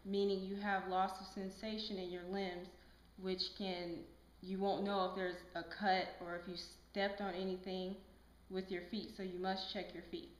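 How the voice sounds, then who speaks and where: A woman's voice: medium pitch, little pitch movement, normal speed, close to an everyday voice. A woman playing a patient, firm health educator in a scripted puppet skit, talking in an instructive, emphatic way. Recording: echoey room.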